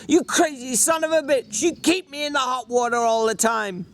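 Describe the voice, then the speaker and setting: A man's voice: extremely high pitch, moderate pace, a little hiss or hum, pitched above the performer's own voice. A man voicing an exasperated, ranting ranch drifter with a secretly caring streak in a dramatic novel reading. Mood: furious, exasperated.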